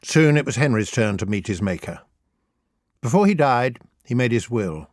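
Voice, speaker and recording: A man narrates a humorous history audiobook with wry theatricality. His mid-pitched voice moves freely up and down, and the recording is close and dry, with no room around it. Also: expressive, normal speed, dry recording.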